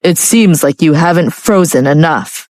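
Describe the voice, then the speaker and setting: A woman's voice: low voice, a little slow, a little mellow, no echo. Woman reading a cold scary husband, clipped and icy, dry recording. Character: cold, menacing young husband.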